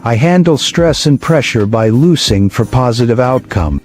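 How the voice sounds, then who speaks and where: A man from Guyana answering prepared interview questions deliberately, repeating each question in his answer. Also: medium pitch, lively intonation, a little mellow. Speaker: interviewee, motivational platform founder. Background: music.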